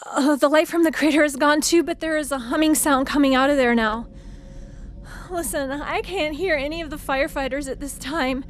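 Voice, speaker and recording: A woman playing a frightened field reporter in a radio drama, phoning in a halting, breathless eyewitness account. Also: sits high, a little hiss or hum, close to an everyday voice. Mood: awed, uneasy. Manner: halting, breathless, repeating herself. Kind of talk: modern radio drama acting.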